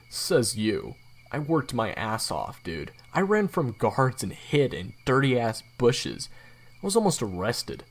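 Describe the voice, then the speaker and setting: A man's voice: medium pitch, very animated, takes it slowly, full character voice. A man playing a young assassin roommate, slangy and casual, in grumbling banter for an audio roleplay. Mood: exasperated, tired. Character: worn-out, cocky, joking young male assassin roommate.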